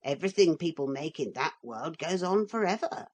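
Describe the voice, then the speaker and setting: Woman voicing a wise wooden toy man, patient, matter-of-fact, low husky voice, storybook. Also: animated, normal speed, darkish tone. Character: calm, wise little wooden man come alive. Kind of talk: storybook reading.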